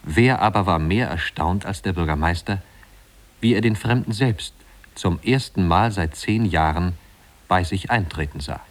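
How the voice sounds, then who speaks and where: A man's voice: low voice, a little slow. A man narrating a literary tale for a German radio play in a scripted storytelling style.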